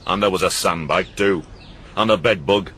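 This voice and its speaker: A man's voice: low voice, moderate pace, a bit bright, a little hiss or hum. A man voicing a cantankerous old uncle who mocks and rants. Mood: ranting. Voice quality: gruff, rambling, scornful. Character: cantankerous old northern uncle, sharp-tongued and mocking.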